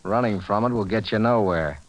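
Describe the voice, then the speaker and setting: An actor playing a relentless hard-boiled bounty hunter, mocking and menacing in 1940s radio drama style. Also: male voice, fairly low pitch, fairly quick, lightly acted. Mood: cool, menacing.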